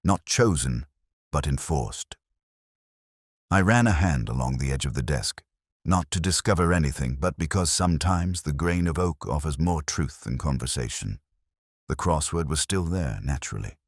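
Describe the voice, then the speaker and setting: Very deep, husky male storyteller. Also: expressive, no room sound, clean studio sound. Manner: measured, literary, reflective delivery. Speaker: narrator. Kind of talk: scripted first-person detective audio drama narration.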